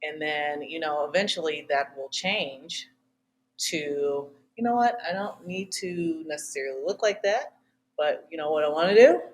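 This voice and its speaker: A woman's voice: low voice, moderate pace. A woman who coaches fitness, talking casually in an interview conversation.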